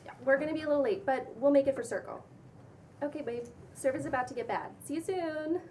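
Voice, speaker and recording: A woman acts a bubbly young influencer with a high, chirpy voice. She is casual and eager to placate, in a script read with faint noise in the background. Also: fast talker, warm tone, natural voice. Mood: placating. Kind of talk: table read of a pilot script.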